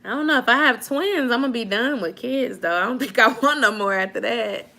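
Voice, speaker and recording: Young woman in her 20s, bright voice, chatty and laughing, reading comments at home. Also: on the high side, a little fast, creaky. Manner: animated, laughing often, slangy. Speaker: vlogger chatting with live viewers. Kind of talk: casual live chat, reading comments.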